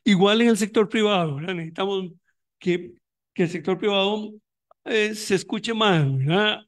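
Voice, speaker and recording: A man's voice: high-pitched, moderate pace. A man giving a conference talk on education, thoughtful and reflective, with frequent restarts and fillers. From Costa Rica. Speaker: panelist on migration in education; university educator running tutoring programs.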